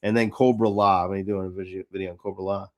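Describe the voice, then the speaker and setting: A man's voice: low-pitched, level tone, rapid-fire, a little mellow. A man hosting a live stream, talking in a casual, rambling and opinionated way about fan interests.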